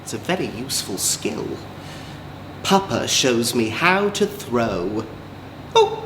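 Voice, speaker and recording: A man's voice: medium pitch, sing-song, slow pace, a bit bright. A man reading children's stories as a drag queen, theatrical and playful, with campy asides to young listeners. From England. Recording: roomy echo, faint background noise.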